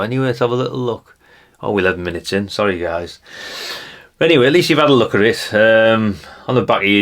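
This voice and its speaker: A man's voice: on the low side, vocal fry, a bit bright. A British man giving casual, rambling hobbyist commentary to his viewers, chatty and colloquial, often tagging questions onto his remarks. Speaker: hobbyist video host. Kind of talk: casual rambling commentary while leafing through a brochure.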